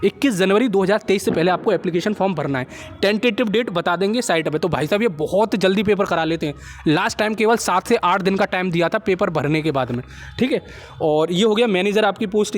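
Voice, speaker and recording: A young man with an Indian accent hosting an informal explainer, talking to viewers like friends and often checking that they follow. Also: sits high. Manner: chummy, addresses viewers as friends, repeats check phrases. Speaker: job-update video host. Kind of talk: informal explainer of a recruitment notice.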